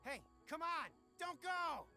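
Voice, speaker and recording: An actor playing a smooth-talking, shifty, pushy merchant in a video game, with a wheedling, exaggerated delivery. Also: male voice, very high voice, lively intonation, slow pace, exaggerated voice. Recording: loud game music behind.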